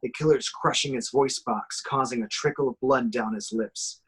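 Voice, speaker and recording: A man's voice: mid-range voice, normal speed, a bit bright, slightly rough. A man reading out screenplay action as narrator in an amateur horror table reading.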